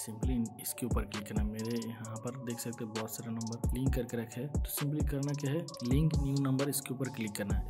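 A man giving casual, scripted tutorial narration, explaining step by step in a repetitive, instructional way. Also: medium pitch. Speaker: tech tutorial YouTuber. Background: background music.

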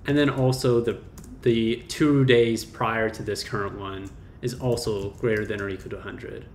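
A man's voice: fairly low pitch, normal speed. Young man explaining code in a roomy room. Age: young adult. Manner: relaxed, thinking aloud with fillers and self-corrections. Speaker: coding tutor. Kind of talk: casual screen-share coding walkthrough. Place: small indoor room. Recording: roomy echo, faint keyboard noise.

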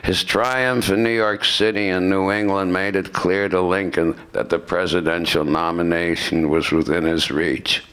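A man in his seventies reading scripted narration aloud in a deliberate way, stumbling now and then, at a microphone in a lecture room. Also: sits low, fairly even intonation, a bit bright, clean tone. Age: 70s. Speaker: narrator in a staged radio play by retired students. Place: lecture room with seated audience. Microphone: microphone on stand at lectern.

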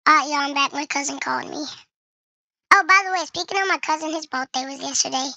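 High-pitched creaky gamer with voice changer. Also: fast talker, sharp tone, no echo, muffled recording. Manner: chatty, addresses viewers, thinks aloud. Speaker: gaming video creator. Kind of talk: casual game commentary while playing.

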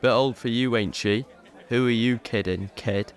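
An actor playing a blunt, teasing, protective older brother. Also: male voice, mid-range voice, normal speed, some chatter behind, lightly acted. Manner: blunt, mocking. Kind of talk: audio drama acting.

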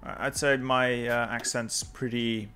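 A man's voice: mid-range voice, fairly even intonation, measured pace, pushed and bright. A young man from Flanders whose first language is Dutch, giving casual, offhand commentary while playing a strategy game and chatting with viewers. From Flanders, Belgium. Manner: muttering to himself while playing, dry and offhand. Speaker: game streamer. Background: faint background music.

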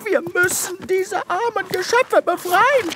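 A woman's voice: very high voice, a little slow, noisy background. A woman playing an indignant, scornful alien crusader in a comedy science fiction audio drama. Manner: scornful, emphatic. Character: indignant female alien assistant, crusading against cruel factory.

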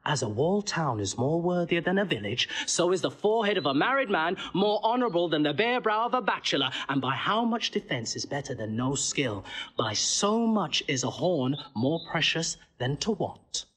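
An actor playing a witty, bawdy male court jester with a husky voice in a stage play, mocking and theatrical. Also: sing-song, normal speed, a bit bright, heavily acted. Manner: mocking, theatrical, wide swings in pitch.

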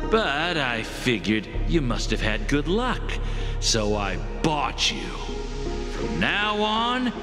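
A man voicing a cruel, gloating slave owner in a video game, with a drawling, sneering delivery. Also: medium pitch. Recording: long reverb, music underneath.

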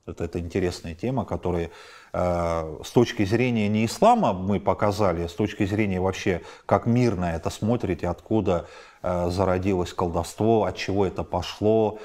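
Director guy in his 40s, low voice, gets louder when he lists stuff, apartment interview. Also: normal speed. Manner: animated, lists examples with rising emphasis. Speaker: film director. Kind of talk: interview answers. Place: high-rise apartment at night, indoors.

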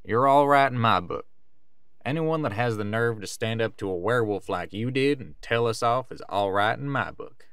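An actor playing a plainspoken, practical country man with gruff approval in an audio drama. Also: mid-range voice, normal speed, a bit bright, dry recording, clean studio sound, lightly acted. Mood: approving.

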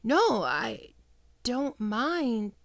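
A woman playing a guilt-ridden, tender young ghoul best friend in an intimate ASMR roleplay, speaking softly and haltingly with emotion. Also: a little high, big pitch swings, gravelly, full character voice. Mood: shy, unsure. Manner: soft, hesitant, emotional, halting.

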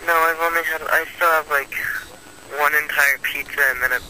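Higher voiced young guy, tired, casual chat over speakerphone, noisy outside. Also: fairly even intonation, fairly quick, bright, forceful tone. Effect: phone speaker. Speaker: friend on a phone call. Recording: noisy speakerphone call picked up outdoors.

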